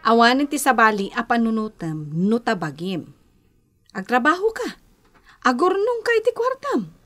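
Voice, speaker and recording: Casting: firm, encouraging big sister for radio drama, Filipino accent, animated, soft music behind. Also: female voice, fairly high pitch, moderate pace. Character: practical, encouraging older sister working abroad. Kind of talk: Ilocano radio drama acting.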